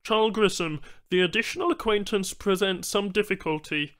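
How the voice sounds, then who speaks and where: A man voicing a polite, uncertain alien trader with halting, oddly phrased speech in an audiobook. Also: high voice, fairly bright tone, pitched above the performer's own voice.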